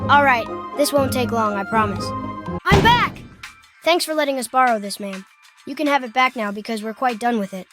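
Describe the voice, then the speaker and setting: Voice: medium pitch, expressive, moderate pace, over music, lightly acted. An actor voicing an upbeat, polite and talkative child hero in a cartoon comic dub. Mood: polite, casual. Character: chatty, upbeat, polite plucky kid hero.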